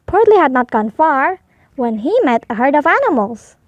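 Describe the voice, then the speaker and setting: High, lively woman reading a storybook. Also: no echo, dull audio. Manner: expressive, deliberate. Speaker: storybook reader. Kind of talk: read-aloud narration for young children.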